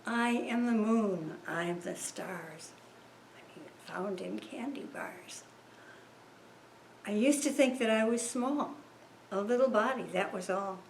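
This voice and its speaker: A woman reading a rhyming children's picture book aloud in a measured, rhythmic way. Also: mid-range voice, creaky, echoey room, slight background noise. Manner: slow, measured, rhythmic delivery. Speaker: story reader at a church service.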